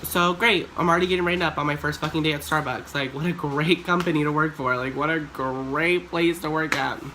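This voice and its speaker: A woman in her twenties, raspy from a cold, rambling through a profane, sarcastic storytime vlog. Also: low voice, steady tone, fairly quick, fairly bright tone, slight background noise. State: sick with a cold. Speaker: vlogger.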